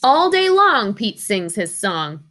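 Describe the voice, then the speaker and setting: A woman reading a children's storybook aloud in an expressive way, asking her young listeners questions. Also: medium pitch, slow. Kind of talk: children's storybook read-aloud with sing-along.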